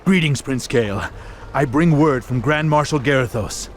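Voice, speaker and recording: An actor playing a formal military envoy delivering orders with dry, smug understatement in a fantasy video game. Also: male voice, mid-range voice, a little slow, slight background noise, natural voice. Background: faint game music.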